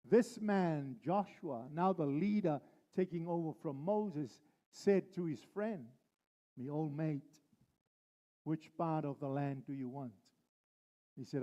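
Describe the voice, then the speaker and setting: A male pastor preaching a sermon, driving his points home with slow, repeated phrases. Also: a little high, warm tone, highs cut off.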